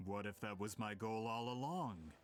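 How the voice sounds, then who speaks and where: An actor playing a scheming, haughty merchant in a video game, pompous and theatrical. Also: male voice, fairly low pitch, moderate pace, in a large hall, over music, close to an everyday voice. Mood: scornful, furious. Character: scheming, haughty merchant man, desperate and indignant. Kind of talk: video game villain acting.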